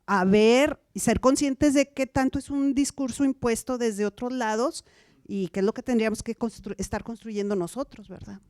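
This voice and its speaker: Smooth, clear-voiced woman in discussion. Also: a little high, moderate pace, a little mellow, no echo, clean studio sound. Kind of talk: reflective discussion remark.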